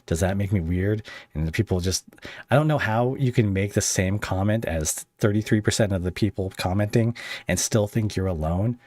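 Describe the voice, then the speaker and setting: Male podcast host, notably low voice, casual conversational interviewing, dry close recording. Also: moderate pace, darkish tone, dry recording.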